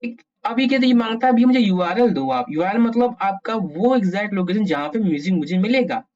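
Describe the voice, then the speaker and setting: A man's voice: high-pitched, expressive, a little fast, darkish tone. A man with an Indian accent hosting a casual programming tutorial, thinking aloud and muttering questions to himself as he works. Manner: mutters to himself, asks himself questions while working. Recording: dull, muffled lo-fi recording.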